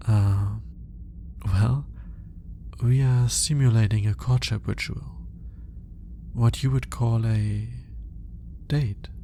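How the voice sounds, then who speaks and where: A man playing an alien scientist in an ASMR roleplay, formal and curious, with clinical wording and hesitant, flustered pauses as he awkwardly courts the listener. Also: mid-range voice, warm tone, a little hiss or hum. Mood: awkward, shyly romantic. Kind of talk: ASMR roleplay audio drama, speaking to the listener.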